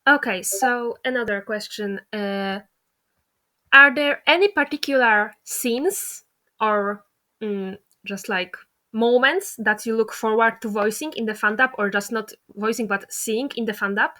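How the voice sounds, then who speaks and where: A woman's voice: on the high side, a little slow, pushed and bright. A woman hosting a casual interview, asking questions in an informal, slightly tentative way.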